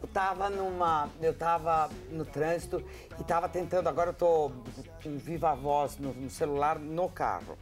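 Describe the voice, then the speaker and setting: A woman's voice: deep voice, moderate pace, over music. A woman in her fifties with an Italian accent, a journalist guest joking dryly about herself on a TV talk show in a studio. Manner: dry, self-mocking humor. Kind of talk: casual talk-show chat. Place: bright TV studio set. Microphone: lapel mic.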